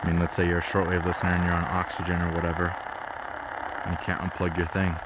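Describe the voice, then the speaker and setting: A man's voice: low-pitched, monotone, quick pace. A man giving casual, rambling and frustrated commentary as a radio hobbyist, recorded outdoors in a backyard. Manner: frustrated, grumbling about interference. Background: shortwave radio noise and signals.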